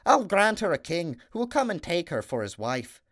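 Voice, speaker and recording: A man's voice: high voice, big pitch swings, moderate pace, fairly bright tone, pitched above the performer's own voice. A man voicing the kindly little men of the forest, who speak in a friendly, raised voice, in a fairy tale.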